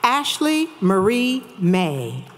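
A woman's voice: medium pitch, sing-song, drawn-out words. A woman formally and emphatically reading out graduates' names as a commencement announcer. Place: large auditorium stage at a graduation. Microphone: podium microphone. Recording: crowd noise of a large ceremony. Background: crowd and ambience.

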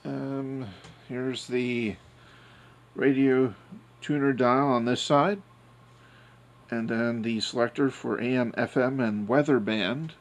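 A man's voice: medium pitch, fairly even intonation, slow pace. A man hosting an informal hands-on product video, talking casually and a little crudely. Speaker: host of product unboxing videos. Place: small metal trailer, then outdoors in snowy yard.

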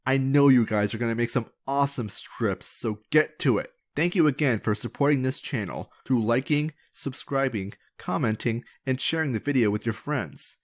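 A man's voice: medium pitch, moderate pace, hardly any pauses. A man hosting his video channel, reading scripted narration to viewers in a playful, direct way. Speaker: video creator and channel host.

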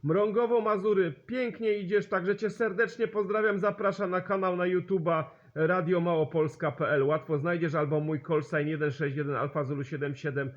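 High-voiced sociable man, dull lo-fi sound. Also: steady tone, moderate pace, hardly any pauses. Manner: sociable, repeats call signs and sign-offs. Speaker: CB radio operator and channel host. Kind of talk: amateur radio contact chat, exchanging signal reports. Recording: dull lo-fi radio-contact recording.

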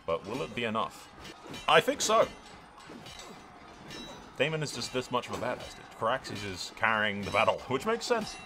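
A man's voice: mid-range voice, wildly swooping pitch, normal speed, long pauses, bright, forceful tone. A man hosting a gaming video, mixing casual, sweary commentary with theatrical role-play reading over music. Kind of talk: excitable gameplay commentary mixed with dramatic read-aloud role-play. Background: game music.

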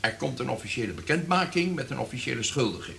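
An actor playing a scheming, grandiose radio director with a commanding manner in a radio play. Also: male voice, medium pitch, big pitch swings, fast, vocal fry, pushed and bright, a little hiss or hum, exaggerated voice.

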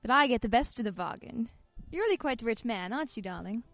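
An actress playing an inquisitive, persistent wife in a radio play, earnest and probing with theatrical delivery. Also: female voice, fairly high pitch, expressive, fast talker, a bit bright, dry recording. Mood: insistent. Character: inquisitive, persistent wife, suspicious yet affectionate.

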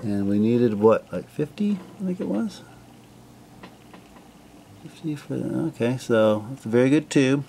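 A man casually narrating his radio restoration at a home workbench, relaxed and full of fillers as he thinks aloud. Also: mid-range voice, unhurried, creaky, pauses often, a little hiss or hum. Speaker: hobbyist restoring a vintage radio.

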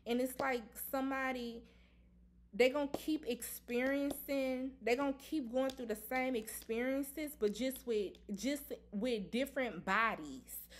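A woman's voice: sits high, unhurried, slight background noise. A woman giving a casual tarot reading to camera, blunt and emphatic, repeating her points and swearing freely. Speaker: tarot reader. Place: indoor room at a table.

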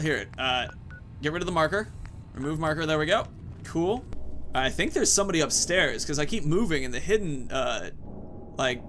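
A man in his thirties talks through a video game in a fairly high-set voice. His commentary is casual and rambling, in informal language, with game music faintly behind him. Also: fairly bright tone. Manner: rambling, informal, swears mildly. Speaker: gaming video host.

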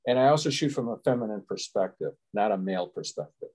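Older man explaining calmly on a video call. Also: lowish voice. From Chicago. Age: 60s. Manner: measured, explanatory, rambling asides. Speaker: guest photographer. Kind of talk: casual interview answers. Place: small room at home with window blinds. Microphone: webcam on a video call.

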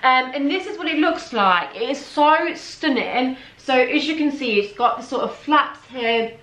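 A young woman chats to her viewers in a bright, lively tone, her voice a touch worn from hours of talking. She sounds a little higher than most women. The bedroom around her leaves a clear echo on every word. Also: measured pace. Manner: chatty, addresses viewers as guys. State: slightly losing her voice. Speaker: fashion vlogger. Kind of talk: chatty clothing haul. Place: bedroom, seated on a bed.

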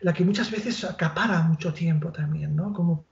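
A man in his forties with a Spanish accent, a literary translator answering interview questions over a video call, pausing and restarting often and adding tag questions. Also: on the high side, vocal fry. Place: small room at home with bookshelf. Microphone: earphone mic on video call. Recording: roomy, dull lo-fi call audio.

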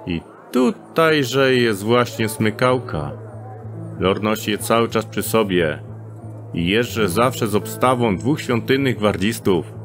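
A man's voice: fairly low pitch, slow, music in the background. An actor playing a sly male thief in an audio drama, speaking in stilted archaic phrasing and coaxing his friend into a scheme. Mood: scheming.

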